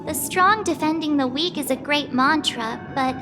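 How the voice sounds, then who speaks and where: A woman's voice: high-pitched, normal speed, lightly acted. A woman playing a sweet, sensitive young girl in a video game, with a hesitant, emotional delivery. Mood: dismayed, hesitant. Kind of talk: scripted video game dialogue. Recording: loud game music behind.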